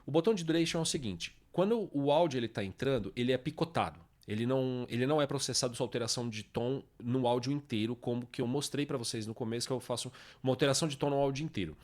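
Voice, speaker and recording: A man's voice: mid-range voice, racing, few pauses. A man with a Brazilian accent hosting an informal music production tutorial, explaining step by step and often checking understanding with short tag questions. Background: guitar samples and music played from software.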